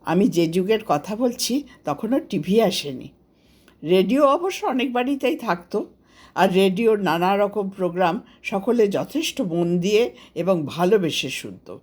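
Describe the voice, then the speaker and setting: Female storyteller, Indian Bengali accent, mid-range voice, animated intonation, scripted memoir-style narration. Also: medium pitch, measured pace. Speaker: author narrating her own story.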